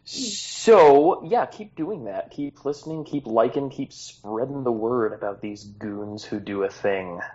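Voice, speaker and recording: A man's voice: mid-range voice, lively intonation, measured pace, hardly any pauses, darkish tone, highs cut off. A man hosting a tabletop game podcast as game master, narrating scenes with jokey asides.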